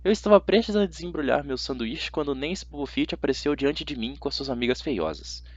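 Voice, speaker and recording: A man with a Brazilian accent narrating a first-person story as an amateur audiobook reader, dramatic and expressive. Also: fairly high pitch, brisk, husky. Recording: slightly dull, dry audio.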